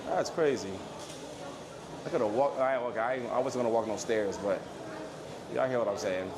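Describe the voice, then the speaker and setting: A man with a low voice chatting casually and rambling to his livestream viewers as he walks through an airport terminal. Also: normal speed, a crowd in the background. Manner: rambling, joking, talks quietly. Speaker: IRL livestreamer. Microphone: phone or camera carried while walking. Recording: noisy phone livestream audio.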